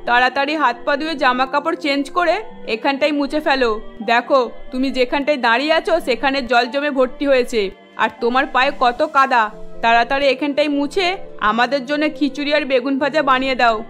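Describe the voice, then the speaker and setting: A woman's voice: high-pitched, fairly even intonation, a little fast, fairly bright tone, faint music behind. A woman playing a bossy, scolding middle-aged mother-in-law in a cartoon, nagging and commanding. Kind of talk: cartoon voice acting.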